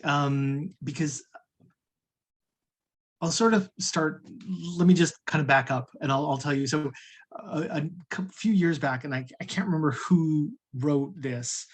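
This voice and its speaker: A man's voice: medium pitch, fairly even intonation, a little slow. A man asking a question at an online lecture over a video call, rambling and apologetic as he backs up to explain. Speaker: audience member asking a question.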